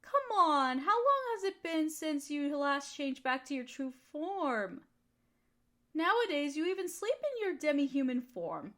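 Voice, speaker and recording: A woman's voice: high voice, sing-song, unhurried, a bit bright, heavily acted. A woman playing an affectionate, teasing girlfriend in an intimate comfort roleplay, soothing and cooing as she pampers her partner. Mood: teasing, tender. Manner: cooing, soothing pet-talk. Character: affectionate, teasing, nurturing girlfriend of a fox spirit. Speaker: audio roleplay voice performer.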